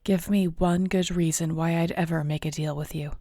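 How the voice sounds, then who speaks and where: Low, mellow, surly werewolf woman played by an actress. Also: steady tone, normal speed, no room sound, lightly acted. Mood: guarded, hostile. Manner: gruff, dry, blunt. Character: surly young female werewolf alpha, guarded, fiercely protective. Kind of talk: audio roleplay acting.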